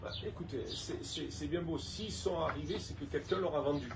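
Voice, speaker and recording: A man from Saint-Tropez with a southern French accent, in a casual interview, halting and repeating words, then speaking emphatically. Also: a little high, recorded from a distance, noisy background. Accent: Provençal (southern French). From Saint-Tropez, France. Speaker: restaurant owner and council candidate.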